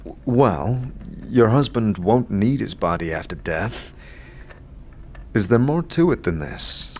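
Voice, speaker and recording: A man voicing a gentle, weary wanderer in an audio drama, hesitant and soft-spoken as he offers help. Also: lowish voice, expressive, takes it slowly, a little hiss or hum. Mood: probing.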